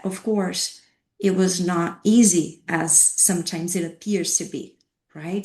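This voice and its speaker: A woman's voice: lowish voice, vocal fry, darkish tone. Reflective woman counselor in an echoey room. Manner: reflective, rhetorical questions, frequent "right?". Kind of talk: panel discussion. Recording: roomy echoey sound.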